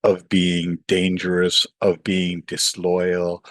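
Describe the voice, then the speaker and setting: A man's voice: deep voice, monotone, slow pace, no echo, studio quality. A middle-aged man from Pakistan, an author and professor, talking animatedly in an interview, often saying "you know" and acting out the voices of others. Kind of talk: interview conversation.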